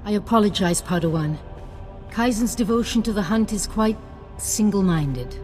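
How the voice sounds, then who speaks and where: A woman's voice: mid-range voice, animated, normal speed, darkish tone, faint music behind, natural voice. A woman playing a scholarly, single-minded Jedi master in a video game, earnest and instructive. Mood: calm. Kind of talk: video game dialogue acting.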